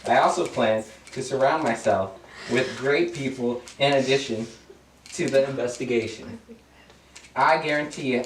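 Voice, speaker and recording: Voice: mid-range voice, lightly acted. An amateur actor playing an earnest, deferential Ukrainian president who is eager to please. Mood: earnest pleading. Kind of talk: amateur student satire, read dialogue. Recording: roomy, distant mic, background noise.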